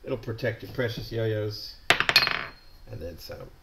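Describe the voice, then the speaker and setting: A man's voice: on the low side, a little slow, vocal fry, very noisy. A middle-aged American man from California, a yo-yo shop owner, chatting casually and enthusiastically while unboxing products on a desk camera indoors. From California, USA.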